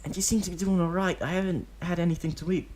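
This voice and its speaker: A man voicing an eager, clingy twelve-year-old boy who begs in a high, pleading voice. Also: steady tone, fast talker, darkish tone. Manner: high pleading childish voice, tacks on "hmm?".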